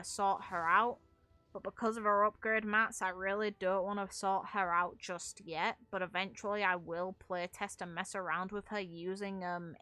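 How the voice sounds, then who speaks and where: Bright-voiced woman giving casual game commentary. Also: mid-range voice, no echo. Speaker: video game streamer.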